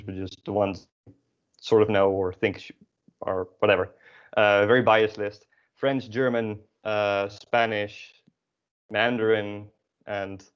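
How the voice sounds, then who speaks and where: A young man with a Dutch accent and a fairly low voice gives an informal lesson. He rambles and hesitates, often undercutting himself as he explains. The sound is slightly dull and lo-fi, as on a video call. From Friesland, northern Netherlands. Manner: rambling, self-deprecating, hesitant. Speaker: language teacher.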